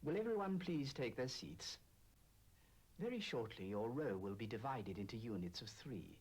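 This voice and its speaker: Creepy formal announcer from an 80s safety film, husky, calm but bossy, pitch moves around a lot. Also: male voice, normal speed. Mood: calm, sinister. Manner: formal, calmly authoritative. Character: official announcer coldly giving sinister orders to passengers. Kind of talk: 1980s public information film announcement.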